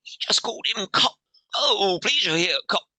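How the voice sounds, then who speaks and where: Middle-aged guy, hoarse strained voice, bright sharp tone, dry close sound, pitch jumps around a lot. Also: expressive, no echo. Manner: halting, breaks off and restarts. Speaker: podcast guest. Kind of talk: interview conversation.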